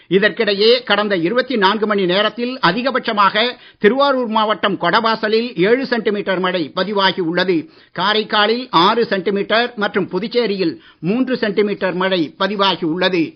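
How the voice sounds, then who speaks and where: A man reading the evening radio news in a formal, measured bulletin style. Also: sits high. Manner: steady, measured bulletin delivery. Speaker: news reader. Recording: muffled radio broadcast audio.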